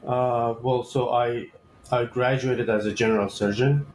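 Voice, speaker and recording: A man in his thirties from Iran, a surgeon answering interview questions in a hesitant way with many pauses and fillers. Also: medium pitch, steady tone, darkish tone, echoey room, slight background noise, low-quality recording. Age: 30s. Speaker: guest surgeon.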